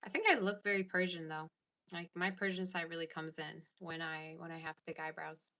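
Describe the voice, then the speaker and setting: A woman's voice: sits low, flat delivery, brisk, bright, forceful tone. A woman giving a casual tarot reading to her viewers, chatting and answering comments as she goes. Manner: chatty, reads live chat aloud. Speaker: tarot reader.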